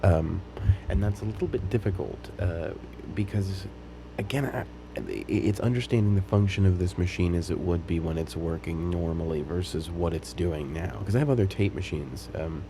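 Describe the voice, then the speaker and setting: A man giving an unscripted, casual hands-on demonstration, explaining things in a tentative, halting way. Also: sits low, background noise. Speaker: hobbyist demonstrating a tape machine repair.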